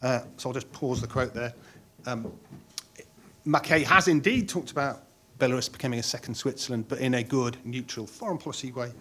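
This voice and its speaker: A middle-aged man speaking on a conference panel in a measured way, pausing with frequent ums and restarting phrases. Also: mid-range voice, pushed and bright.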